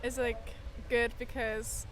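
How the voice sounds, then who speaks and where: A young Scottish woman answers a street interview outdoors. Her voice sits a little high, and she chats casually and with enthusiasm, peppering her talk with "like". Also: flat delivery, slow pace, low-quality recording. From Scotland. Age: young adult. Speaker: interviewee, ski instructor. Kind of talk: casual chat. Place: outdoors at a snow festival.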